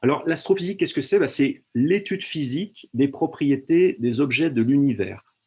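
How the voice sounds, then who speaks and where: A man's voice: mid-range voice, lively intonation, normal speed, a little mellow, husky. A man presenting an astronomy department in an online information session, speaking hesitantly with many fillers and repeated words over a video call. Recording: dull lo-fi video-call audio.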